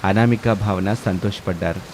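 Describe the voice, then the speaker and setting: A man's voice: deep voice, fairly even intonation, normal speed, darkish tone, a little hiss or hum. A man narrating a scripted family moral story.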